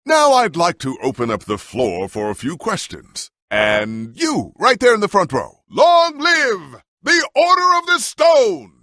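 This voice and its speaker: An actor playing a proud warrior hero in a video game, with a pompous, theatrical, commanding way of speaking. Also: male voice, sits high, huge pitch swings, unhurried, hardly any pauses, bright, forceful tone, no echo, studio quality, heavily acted. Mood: grand, rousing. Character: proud, grandiose warrior hero, an adult man. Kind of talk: dramatic game dialogue and heroic speeches.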